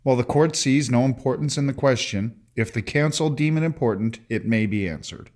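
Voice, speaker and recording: Actor as measured male presiding judge. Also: mid-range voice, a little mellow, natural voice. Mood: judicial. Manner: formal, measured, authoritative. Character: measured, authoritative male chief justice presiding. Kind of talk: courtroom dramatic reading.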